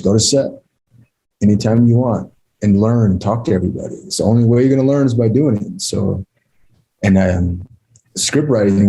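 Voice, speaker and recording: A man giving heartfelt, informal remarks as a guest speaker, reflective and halting, often repeating his phrases. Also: fairly low pitch, vocal fry, dark tone, rough recording.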